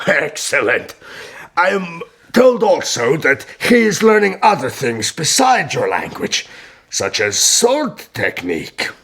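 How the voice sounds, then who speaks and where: An actor playing a shrewd, ceremonious medieval sultan in a radio drama, speaking in a raspy, gravelly voice with a courtly, deliberate manner. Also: male voice, a little high, wildly swooping pitch, a bit bright, exaggerated voice. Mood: grand, sly. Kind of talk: radio historical drama acting.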